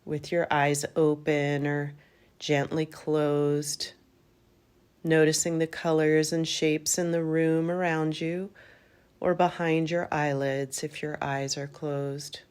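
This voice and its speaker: A woman leading a guided meditation in a calm, gentle manner, in unhurried phrases with long pauses. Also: sits low, clear, resonant tone. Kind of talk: guided yoga nidra meditation.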